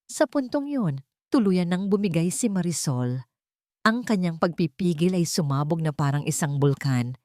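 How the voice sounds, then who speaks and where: A woman's voice: lowish voice, lively intonation, moderate pace, a little mellow, no echo, clean studio sound. A woman narrating a scripted romantic drama as a storyteller.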